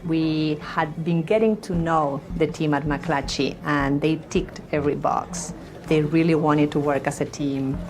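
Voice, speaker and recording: A woman speaks in a calm, measured interview voice, very low and quite flat in tone. Clear music plays beneath her. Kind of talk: documentary interview.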